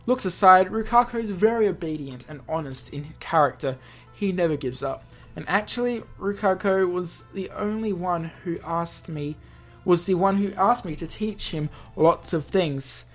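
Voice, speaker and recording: A grandiose, bossy young man as a woman reader plays him, theatrical and self-important in a visual novel. The voice is low for a woman, with faint music behind. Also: moderate pace, close to an everyday voice. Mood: proud. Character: pompous, theatrical young man playing mad mentor.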